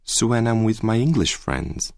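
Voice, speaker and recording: A man with an Italian accent giving a clearly spoken scripted monologue for a language-course listening exercise. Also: lowish voice, very animated, moderate pace, darkish tone, no room sound, clean studio sound. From Italy.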